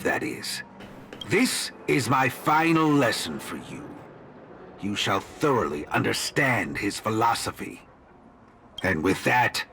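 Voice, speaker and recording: An actor playing a pompous, smug villainous teacher in a video game, lecturing in a theatrical, philosophical way. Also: male voice, on the low side, a bit bright. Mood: cold, grandiose. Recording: game music under the voice.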